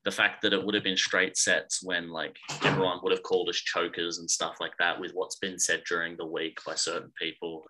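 A young man with a very bright, tense voice talks sport on a podcast. He is earnest, and his sentences tumble out one after another. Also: sits low, flat delivery, a little fast, few pauses, slight background noise, low-quality recording. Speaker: podcast guest, sports fan. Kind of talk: casual chat.

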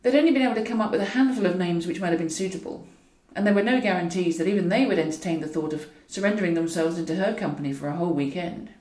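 A woman's voice: lowish voice, very fast, hardly any pauses, a little mellow. Woman narrating, roomy and distant. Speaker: author reading own audiobook. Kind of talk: scripted fiction narration. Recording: roomy echo, distant from mic.

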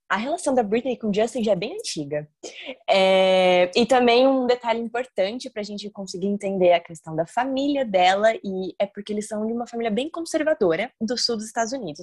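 A young woman hosting a podcast, chatting casually and explaining things with lots of tag questions. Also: mid-range voice. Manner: chatty, explains with many "né?" tags. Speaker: podcast host.

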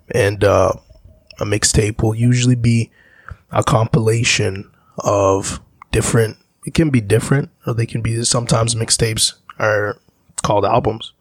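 A gravelly, slightly husky man from Zambia talks as a guest in an interview. He rambles through his memories in a reflective way, and his lowish voice sounds dry and close. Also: no echo. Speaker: musician guest. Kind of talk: casual interview talk.

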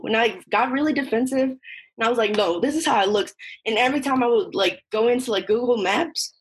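A woman's voice: on the high side, slightly rough. A young woman from northern Mexico, a Spanish speaker, telling personal stories casually with lots of filler "like" and acted-out dialogue. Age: young adult. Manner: informal, heavy use of "like", quoting dialogue. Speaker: podcast participant.

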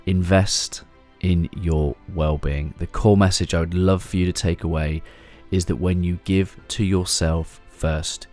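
A man's voice: deep voice, dark tone. A man hosting a podcast, reading a scripted intro and then chatting with his guest, with encouraging murmurs. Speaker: podcast host.

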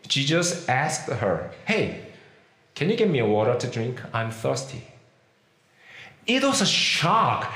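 A man with a Korean accent preaches a sermon, his fairly high voice swooping up and down as he acts out the people in his stories. He leans hard on key words. The sound rings around an echoey room. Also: fairly bright tone. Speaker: pastor.

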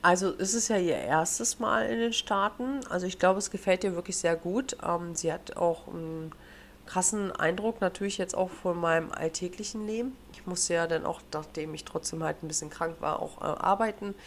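A woman's voice: on the low side, normal speed, slight background noise. A German woman co-hosting a casual podcast, chatting with lots of fillers and slang, a bit congested and nasal from a cold. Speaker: podcast co-host. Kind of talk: casual chat. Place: living in the United States.

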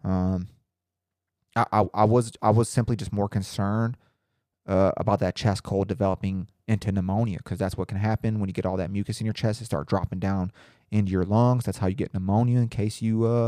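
A man's voice: lowish voice, warm tone, dry recording, clean studio sound. A young man hosting his own podcast in casual, rambling, profane talk, a little congested and nasal from a cold. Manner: profane, rambling, laid-back. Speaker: podcast host.

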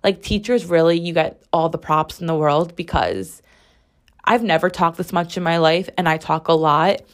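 A woman in her twenties hosts her solo podcast in a rambling, emphatic style, swearing freely. Her voice is strained and hoarse, and she is recording from her bathroom at home. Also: fairly low pitch, steady tone, moderate pace. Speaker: podcast host. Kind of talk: casual solo podcast chat.

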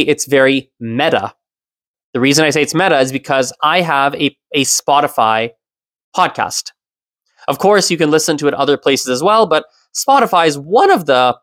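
A man in his thirties hosting his own solo podcast, talking in a reflective, explanatory way with the odd soft laugh. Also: fairly high pitch, no room sound, clean studio sound. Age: 30s. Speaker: podcast host.

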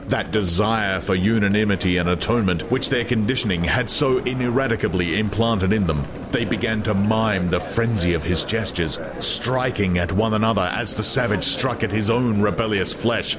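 Male narrator for dramatized literary audiobook, lowish register, ambient noise underneath.

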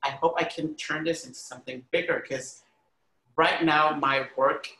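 A man's voice: on the high side, steady tone, moderate pace, bright, forceful tone, roomy sound, poor audio. A man from Honduras talking earnestly and emphatically in a conversational interview, telling personal stories and often saying "like" and "you know". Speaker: arts critic and educator, podcast guest.

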